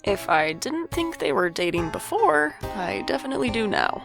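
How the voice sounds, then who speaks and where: A woman playing a wry, guarded but caring older sibling in an audio drama, with dry, deadpan delivery. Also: mid-range voice, normal speed, creaky, over music. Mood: wry, resigned. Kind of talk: audio drama narration and dialogue.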